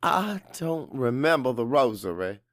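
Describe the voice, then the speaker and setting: A man narrating a dramatic first-person audiobook in an emotive, deliberate way with pauses for effect. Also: mid-range voice, sing-song, no echo, studio quality.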